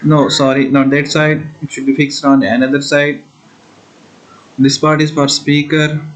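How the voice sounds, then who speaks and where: A man with a slightly high voice hosts a casual do-it-yourself tutorial. He explains each step as he goes and thinks out loud while he works with his hands. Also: little pitch movement, a little slow, low-quality recording. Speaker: host of a DIY electronics build video.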